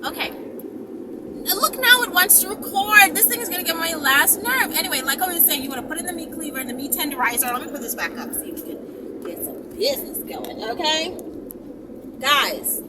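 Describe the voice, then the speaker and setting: High-pitched sassy woman, noisy distant recording. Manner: emphatic, sassy, repeats phrases and checks with "okay?". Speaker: vlog host. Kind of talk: casual rambling vlog talk to viewers. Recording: distant phone mic, loud vehicle noise.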